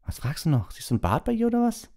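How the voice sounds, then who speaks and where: A man's voice: on the high side, wildly swooping pitch, fast, mellow, pitched above the performer's own voice. A man voicing a blunt, sarcastic teenage schoolboy who grumbles, in an audiobook.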